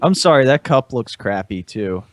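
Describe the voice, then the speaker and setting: A man's voice: mid-range voice, big pitch swings, moderate pace, no echo. A man giving his opinions casually as a panelist on a podcast. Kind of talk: casual group chat.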